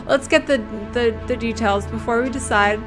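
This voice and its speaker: A woman's voice: on the high side, normal speed. A woman streaming a game, giving casual, playful commentary and thinking aloud as she reacts. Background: game music.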